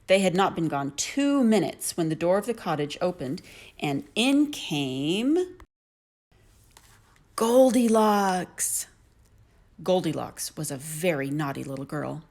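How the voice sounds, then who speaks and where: Woman, children's story narration, slightly low voice, expressive, lively pitch swings. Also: slow.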